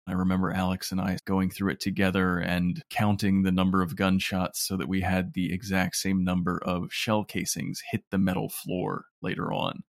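A man with a fairly low voice talks through his production work as an interview guest. The delivery is conversational and the sound is dry, with little room. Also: no echo. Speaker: audio drama producer and writer, interview guest.

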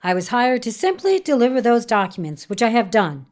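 A woman's voice: on the high side, very animated, brisk, darkish tone. A woman playing a formal, businesslike private investigator in an audio horror drama. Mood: firm, formal. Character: brisk, formal female private investigator delivering documents.

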